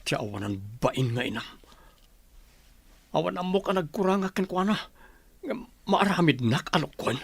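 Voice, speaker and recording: A man's voice: a little high, animated, moderate pace, vocal fry, long pauses, husky, dry recording, full character voice. A man acting a betrayed husband and loving father in a radio drama, earnest and emotional. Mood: shocked, hurt.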